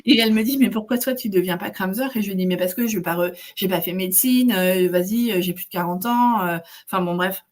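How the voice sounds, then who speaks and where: A woman's voice: medium pitch, quick pace, hardly any pauses. A woman in her forties telling her story in a casual interview, chatty with many fillers. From Netherlands. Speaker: guest, maternity carer.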